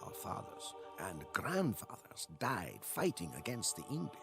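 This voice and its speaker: Weary French soldier, low creaky voice, solemn game narration, dramatic swings in pitch, music behind. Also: male voice, huge pitch swings, full character voice. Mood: doubtful, solemn. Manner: solemn, dramatic, measured delivery. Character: weary, devoted French soldier recounting the war. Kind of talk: scripted dramatic narration, like a war journal. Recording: loud music under the voice.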